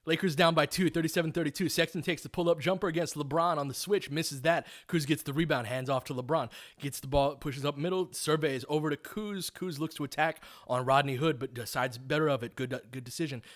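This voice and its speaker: A man's voice: fairly high pitch, fairly even intonation, fairly quick, hardly any pauses. Man calling basketball play-by-play, informal. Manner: informal, partisan fan asides; explains tactics in detail. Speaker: play-by-play commentator. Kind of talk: live basketball play-by-play with coaching analysis.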